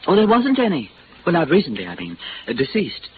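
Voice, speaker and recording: Fussy, swooping, obsequious hotel manager. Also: male voice, fairly high pitch, wildly swooping pitch, a little fast. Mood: gossipy. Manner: pompous, flowery, unctuous, hesitant. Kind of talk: 1950s American radio drama character acting. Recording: scratchy, muffled vintage radio recording with hiss.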